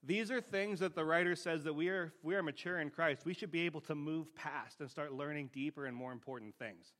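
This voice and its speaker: A man's voice: fairly high pitch, brisk, fairly bright tone. A man preaching a teaching sermon in a plain-spoken, conversational way, often checking in with rhetorical questions.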